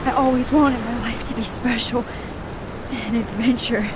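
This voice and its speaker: A woman's voice: on the high side, fairly even intonation, moderate pace, close to an everyday voice. A woman playing an anxious, earnest young student. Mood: heartfelt. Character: shy young woman student who can rewind time. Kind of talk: video game voice acting. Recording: game audio with loud storm noise.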